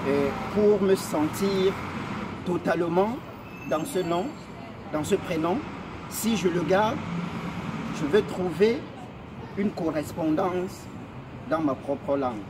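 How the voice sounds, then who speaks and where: A man at an outdoor protest who speaks slowly and hesitantly as he explains himself. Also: a little high. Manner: slow and hesitant. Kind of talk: casual street talk. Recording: loud street noise outdoors.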